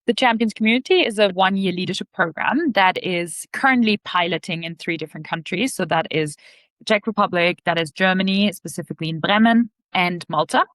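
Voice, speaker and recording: A woman's voice: on the low side, a little fast, fairly bright tone, no room sound. A woman from Austria answering interview questions in an earnest, reflective, conversational way. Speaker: podcast guest, leadership organization CEO.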